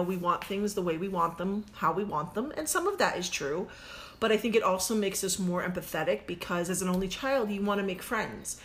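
A woman's voice: medium pitch, a bit bright. A woman in her forties co-hosting a podcast, talking in an earnest, reflective way and echoing her own phrases. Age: 40s. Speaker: podcast co-host. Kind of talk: casual podcast chat.

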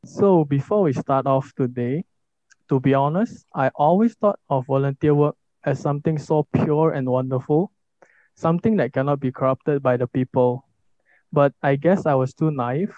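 A man's voice: mid-range voice, normal speed, mellow, dry recording. A young man hosting a podcast, giving formal, orderly introductions and questions. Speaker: podcast host. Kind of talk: scripted podcast moderating. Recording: dull lo-fi recording.

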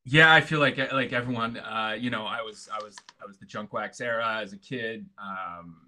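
A middle-aged man with an American accent chats casually as a guest. His voice is low-pitched but bright and forward, and he keeps chuckling through loose, restarting sentences. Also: moderate pace, slight background noise. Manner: laid-back, chuckles often, many restarts. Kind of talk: casual conversation. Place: home in Istanbul.

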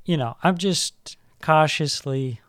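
A man's voice: a little high, takes it slowly, a bit bright, no echo. A man co-hosting a football podcast, talking casually with a hedging, laughing manner. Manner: hedging, laughs often, uses fillers. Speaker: podcast co-host.

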